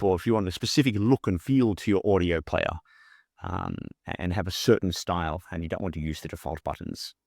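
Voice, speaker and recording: A man with a low-pitched voice presents a step-by-step software walkthrough. He talks informally, thinking aloud as he demonstrates each step. The voice-over is close and dry, with very little room sound. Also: no echo. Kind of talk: casual screen-share walkthrough.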